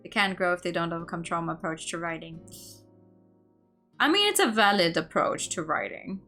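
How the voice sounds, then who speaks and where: A woman streamer reading a visual novel aloud in an expressive way, with laughing asides. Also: lowish voice, fairly quick. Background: faint game music.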